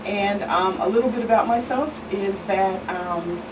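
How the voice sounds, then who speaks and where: A woman speaking as an expert guest in an interview, with a hesitant, pausing delivery. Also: medium pitch. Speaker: guest expert, attorney and reverend. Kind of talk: interview talk. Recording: slightly distant, clear background noise.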